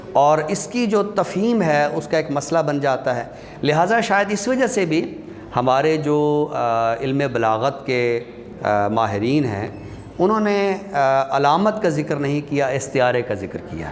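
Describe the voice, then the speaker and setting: A man's voice: a little high, expressive. A man giving a classroom lecture as a literature teacher, explaining things step by step and addressing his listeners directly. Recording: reverberant hall, clear background noise.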